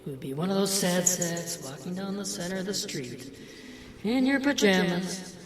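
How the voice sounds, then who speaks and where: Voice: mid-range voice, moderate pace, a little hiss or hum, lightly acted. An actor playing the mocking, disgusted inner voice of an old man, sardonic and needling, in an audio drama. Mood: scornful. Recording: roomy echo, music behind.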